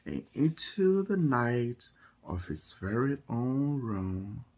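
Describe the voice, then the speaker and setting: Very low-voiced woman reading a bedtime story. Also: big pitch swings, a little mellow. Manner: dramatic, with emphasized words and drawn-out phrases. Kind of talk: expressive bedtime picture-book reading. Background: music.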